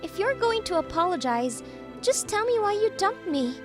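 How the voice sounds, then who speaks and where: A woman's voice: extremely high pitch, very animated, moderate pace, music in the background. A woman voicing a bossy, teasing young female boss and jilted ex in an anime-style manga dub, commanding and needling.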